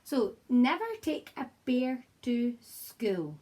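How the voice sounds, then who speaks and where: Slightly husky, higher-voiced woman reading to children. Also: slow pace. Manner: expressive, encouraging, simple address to small children. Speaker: primary school teacher reading to children. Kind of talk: children's picture book read-aloud with chatty asides to young viewers. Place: child's room at home, indoors.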